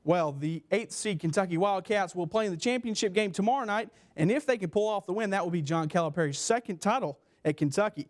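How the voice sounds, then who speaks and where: A man's voice: high-pitched, expressive, fast, few pauses. A young man reading a scripted sports newscast as a TV anchor. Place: small TV news studio. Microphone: lapel mic.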